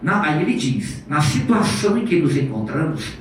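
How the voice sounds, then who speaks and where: Male lecturer, rambling animated storyteller, distant mic, faint room hiss. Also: fairly high pitch, normal speed. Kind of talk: public lecture. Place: auditorium. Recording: echoey hall recorded from far off.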